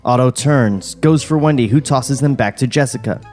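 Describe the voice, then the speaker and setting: Male podcast narrator, middle pitch, reading action lines with casual banter over faint music. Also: moderate pace, darkish tone. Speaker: podcast host reading stage directions. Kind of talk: comedic table read, narration with casual banter. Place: podcast studio.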